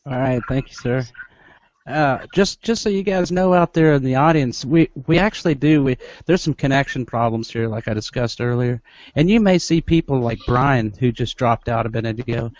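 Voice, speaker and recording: A man's voice: mid-range voice, darkish tone, dry recording. A middle-aged man hosting a live group video call on a headset, talking loosely with restarts. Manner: loose, halting, with many restarts and laughs. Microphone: headset with headphones. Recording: dry, dull video-call audio.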